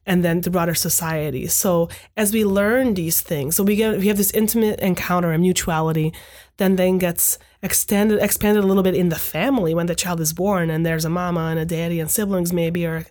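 A woman in her thirties whose first language is Hungarian, a podcast guest speaking in a measured, reflective way and often checking in with "right?". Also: fairly low pitch, fairly even intonation, a little mellow. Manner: thoughtful, measured, frequent "right?" tags. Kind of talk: reflective conversational talk.

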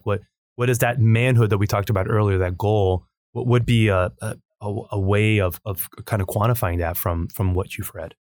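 A man in his late thirties hosting a podcast, asking questions in a conversational, halting way with restarts. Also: lowish voice, no echo, clean studio sound. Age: 30s. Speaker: podcast host. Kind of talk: casual interview conversation.

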